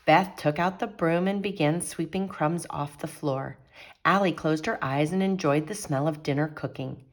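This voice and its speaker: A woman reading a children's picture book aloud as a storyteller, expressive and teacherly. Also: low voice, moderate pace.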